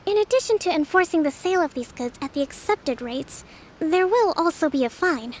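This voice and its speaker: A woman voicing a composed young priestess leader in a video game, formal, gentle and firm. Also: very high-pitched, expressive, a little hiss or hum. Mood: firm, stern. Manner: formal, measured. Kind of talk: video game character acting.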